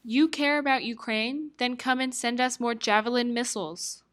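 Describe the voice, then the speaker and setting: A woman's voice: fairly high pitch, moderate pace, a bit bright, natural voice. A woman playing a coaxing, flirty Ukrainian president in an amateur satire.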